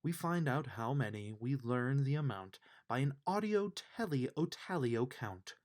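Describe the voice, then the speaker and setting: A man reading a rhyming children's bedtime book aloud with measured, emphatic storytelling delivery. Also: mid-range voice, very animated, a little mellow. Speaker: storybook reader.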